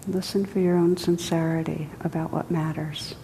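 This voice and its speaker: A woman teaching a guided meditation, speaking gently and unhurriedly in short phrases with pauses between them. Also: little pitch movement. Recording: echoey hall, rumbly background noise.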